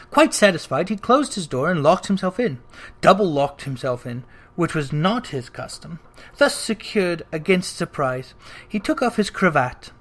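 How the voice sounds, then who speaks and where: A narrator reading a classic story aloud in an expressive, theatrical way, with deliberate, drawn-out emphasis on phrases. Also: medium pitch. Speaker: narrator, audiobook reader.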